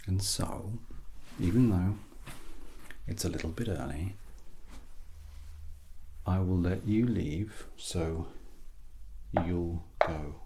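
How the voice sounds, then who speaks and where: A British man softly giving an ASMR English lesson as a teacher, breathy and often near a whisper, with an awkward, self-deprecating, gently encouraging manner. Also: sits low, slow pace, long pauses, a little hiss or hum. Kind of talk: softly spoken ASMR grammar lesson roleplay.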